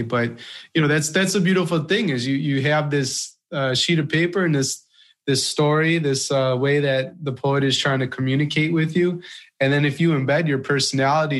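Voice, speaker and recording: Relaxed male podcast host. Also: medium pitch. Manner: relaxed, thoughtful, uses fillers and restarts. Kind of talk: conversational podcast interview.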